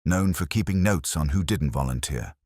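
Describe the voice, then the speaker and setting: A man's voice: extremely low pitch, lively intonation, moderate pace, darkish tone, dry recording, clean studio sound. A man voicing a keen, practical police sergeant sidekick in a plain, attentive manner for a detective story. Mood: grim.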